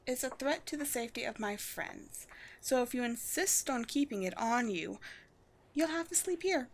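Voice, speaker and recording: Woman playing a haughty, measured vampire queen. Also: a little high, expressive. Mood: wry, commanding. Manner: formal, measured, haughty, teasing. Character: poised vampire queen, proud, wry, secretly gentle colony leader. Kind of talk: scripted roleplay audio drama.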